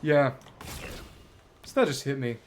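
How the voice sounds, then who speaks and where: Man, game livestreamer, lively swooping pitch, casual commentary, bookish digressions, some background noise with clicks. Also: measured pace. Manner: thinks aloud, bookish wording, digressive. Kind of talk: casual gameplay commentary, chatting with viewers.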